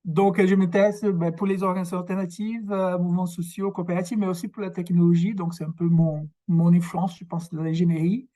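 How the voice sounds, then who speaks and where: A man from Brazil with a Québécois French accent and Portuguese as his first language, giving a workshop lecture over a video call, halting and searching for words. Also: high-pitched, level tone, dark tone. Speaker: guest lecturer, researcher. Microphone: video call microphone.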